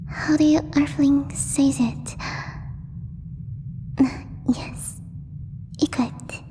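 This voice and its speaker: A woman voicing a gentle, timid alien girl in a soft, breathy ASMR roleplay, speaking formally and haltingly as she searches for words. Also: sits high. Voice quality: breathy, whispery. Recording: long reverb, faint background noise.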